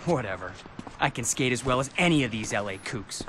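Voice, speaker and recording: An actor playing a brash, eager young skater with slangy talk in a video game. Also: male voice, mid-range voice, animated, brisk, natural voice. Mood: cocky, defensive. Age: young adult. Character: cocky, eager young small-town skater guy. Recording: loud vehicle and street noise behind.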